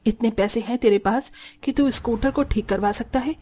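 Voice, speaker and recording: A woman acts a village mother-in-law in a cartoon family drama. She is bossy and sharp-tongued, with a fairly high, assertive voice. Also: normal speed, lightly acted. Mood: scolding, firm. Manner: scolding, assertive. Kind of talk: Hindi cartoon story dialogue.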